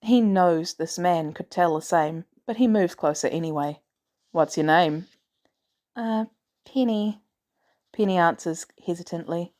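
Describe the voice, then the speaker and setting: A woman's voice: lowish voice, dark tone. A woman reading a scripted story aloud as a narrator. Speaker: audiobook narrator of fan fiction.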